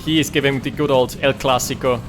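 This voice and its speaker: A man casting a strategy game final in a lively, joking way, quick to react to big plays. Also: medium pitch, over music. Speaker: esports caster. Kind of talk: casual game commentary.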